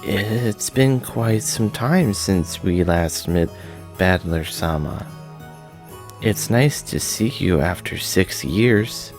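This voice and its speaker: A man's voice: low-pitched, lively intonation, slow pace, soft background music, exaggerated voice. A man voicing a timid, polite young servant woman, hesitant and deferential, in a visual novel read-aloud. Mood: polite, shy.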